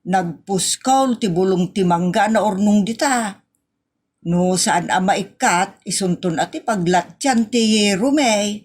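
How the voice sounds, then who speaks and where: A woman's voice: mid-range voice, takes it slowly, darkish tone, slightly rough. A woman with an Ilocano accent playing a grumbling, fault-finding villager in a comedy audio drama, colloquial and complaining. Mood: nagging.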